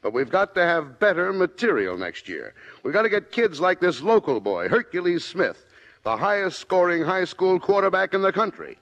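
A man's voice: sits high, very animated, moderate pace, a bit bright, exaggerated voice. An American man acting a harried middle-aged football coach in a 1950s radio comedy, emphatic and prone to stammering. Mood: earnest, sheepish. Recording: dull, band-limited old radio recording.

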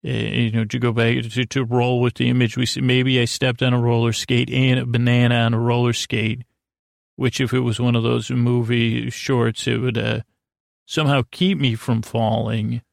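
A man hosting a sleep podcast, rambling in a halting, meandering way with self-deprecating, mildly silly wordplay. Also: mid-range voice, flat delivery, vocal fry, dry recording, clean studio sound. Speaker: podcast host. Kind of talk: rambling bedtime monologue, loose storytelling.

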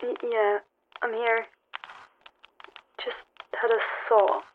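A woman acting in an audio drama as a shaken explorer, halting and repeating words, heard through a telephone. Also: on the high side, flat delivery, a little hiss or hum. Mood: shocked, drained. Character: shaken young woman climber exploring a tower tomb. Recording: narrow tinny phone line, music behind.

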